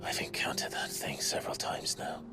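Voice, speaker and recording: An actor playing a brooding, troubled warrior with uncanny powers in a fantasy game, his voice hushed and breathy. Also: moderate pace, in a whisper, close to an everyday voice. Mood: troubled, questioning. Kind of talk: dramatic fantasy game cutscene acting. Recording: loud music behind the voice. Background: loud music.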